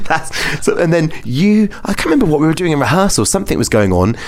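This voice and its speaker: A man's voice: on the high side, very animated, fast. A man hosting a podcast in a casual, chatty interview style, giggly and often repeating phrases back. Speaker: podcast host; pantomime performer.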